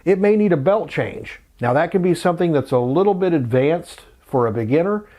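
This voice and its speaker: A man's voice: on the high side, expressive, fairly quick, mellow. A middle-aged man hosting a casual how-to tutorial, explaining things plainly, step by step. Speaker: host of a vintage electronics tutorial channel. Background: music.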